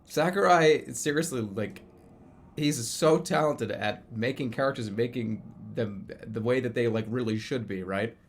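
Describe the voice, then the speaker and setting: A man's voice: medium pitch, normal speed, slight background noise. A man in his thirties chatting casually as a live streamer, rambling and often restarting or repeating his phrases. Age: 30s. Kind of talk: casual livestream chat commentary.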